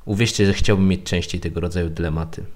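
A man's voice: low-pitched, fairly even intonation, fast talker, darkish tone. A man speaking as a podcast guest, giving a prepared spoken review.

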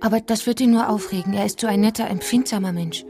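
An actress playing a naive young widow under suspicion in a radio crime drama, defensive and pleading. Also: female voice, medium pitch, fast talker, dark tone, soft background music, close to an everyday voice. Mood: plaintive.

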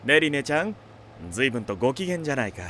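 A man playing a genial, doting middle-aged demon king, gentlemanly and theatrical, in an anime audio drama. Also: medium pitch, sing-song, a little fast, very noisy, close to an everyday voice. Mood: doting, teasing.

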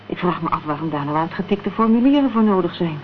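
A woman's voice: lowish voice, animated, fast talker, close to an everyday voice. A woman acting a concerned, questioning companion in a Dutch radio crime drama. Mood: puzzled, concerned. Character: worried, inquisitive female companion in a crime thriller. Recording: dull, noisy old radio play recording.

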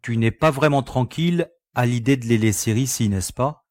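An actor playing an easygoing, practical spaceship pilot in an audio sci-fi drama, speaking in a relaxed, reasonable way. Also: male voice, medium pitch, normal speed, dry recording, studio quality, lightly acted. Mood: considerate.

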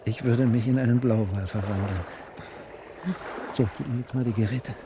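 An actor playing an obsessed, resolute whale researcher and diver in a German radio play. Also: male voice, medium pitch, steady tone, normal speed, noisy background, natural voice. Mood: fervent.

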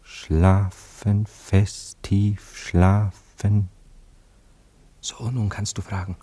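Low-voiced, lovesick poet. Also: male voice, little pitch movement, drawn-out words, warm tone, husky, full character voice. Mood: soothing, commanding. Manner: theatrical, tender, wavering between commanding and evasive. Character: lovesick, anxious poet and amateur hypnotist, male lover. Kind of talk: classic German radio play acting.

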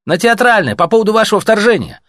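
Brief: nervous wheezing trooper, pushy, voiced by the narrator. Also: male voice, very high voice, sing-song, fast, husky, no room sound, clean studio sound, pitched above the performer's own voice, heavily acted. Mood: agitated. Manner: wheezy, agitated. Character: wheezing, puffing male soldier, anxious.

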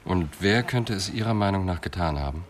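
Ein Schauspieler spielt im Hörspiel einen gefassten Polizeiermittler, der bedächtig und forschend fragt. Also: male voice, low voice, lively intonation, slight background noise. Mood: calm, probing. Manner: measured, probing questions. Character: composed, probing male police detective. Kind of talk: radio drama dialogue.

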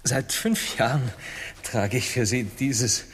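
A man's voice: mid-range voice, slow pace, slight background noise, lightly acted. An actor playing an eager, naive Italian seafarer pleading his case in a comic radio drama, earnest in manner. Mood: insistent, exasperated.

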